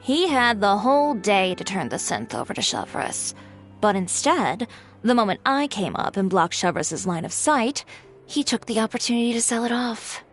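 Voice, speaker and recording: A woman's voice: mid-range voice, very animated, moderate pace, faint music behind, natural voice. A woman voicing a poised, frank and practical costume designer in a video game, speaking directly. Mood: probing, frank.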